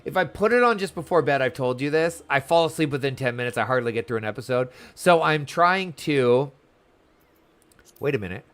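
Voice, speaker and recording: A man's voice: medium pitch, animated, a little fast, few pauses, fairly bright tone, clear, resonant tone. A man chatting casually and animatedly as he streams a video game, rambling from thought to thought. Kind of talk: casual livestream chat over gameplay.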